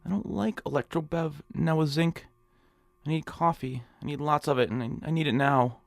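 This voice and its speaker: Whiny, creaky-voiced henpecked nebbish. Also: male voice, on the high side, moderate pace, no echo. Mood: groggy self-pity. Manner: whining, stammering, apologetic. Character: whiny, henpecked, nebbish young man, timid and put-upon. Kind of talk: comic radio drama acting.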